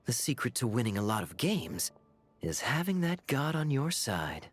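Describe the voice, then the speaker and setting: Smooth teasing knight, expressive sly delivery, game scene. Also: male voice, medium pitch, moderate pace, faint music behind. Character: wry, charming adult male knight. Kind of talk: game voice acting.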